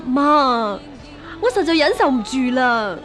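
A woman with a Hong Kong Cantonese accent playing a bitter, defiant young street singer in a radio drama, emotional and sharp-tongued. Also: sits high, very animated, slow pace, soft background music, heavily acted. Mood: desperate, bitter.

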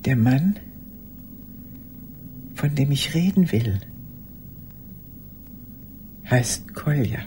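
A lonely old woman in a radio drama, confiding in a silent listener. She speaks very slowly and haltingly, her pitch swinging widely and her phrase endings often hushed. There is noticeable noise behind her. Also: lively intonation, full character voice. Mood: weary, confiding. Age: older.

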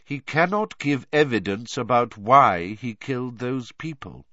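A man voicing a grave, commanding old male headmaster in an audiobook, speaking with sharp authority. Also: medium pitch, expressive, measured pace, dry recording, highs cut off. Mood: grave, insistent. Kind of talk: audiobook character voice.

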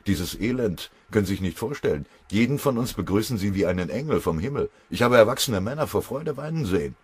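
A man's voice: lowish voice, animated, fast, darkish tone, husky, pitched above the performer's own voice. A man voicing an earnest lieutenant pilot in a war story, pressing and pleading to be allowed to fly. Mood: pleading, desperate.

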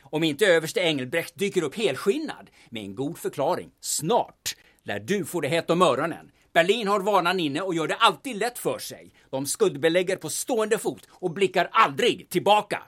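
A man's voice: fairly high pitch, lively intonation, a bit bright. An actor playing a blunt, pushy male investigator from the capital in a radio drama, curt, insinuating and threatening.

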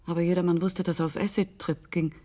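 An actress playing a weary, disillusioned conspirator in an intimate radio drama, speaking in brooding, halting phrases. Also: female voice, lowish voice, little pitch movement, dark tone, natural voice. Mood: bleak, brooding. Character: weary, disillusioned female conspirator recounting her past.

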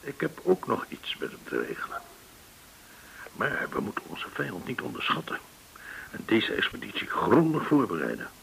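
An actor playing a courteous, shrewd, well-connected informant in a Dutch radio drama, speaking in a formal, deliberate way. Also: male voice, on the low side, huge pitch swings, creaky, a bit bright, full character voice, rough recording. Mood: resolute. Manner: formal, measured, deliberate explaining. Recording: hissy old radio recording.

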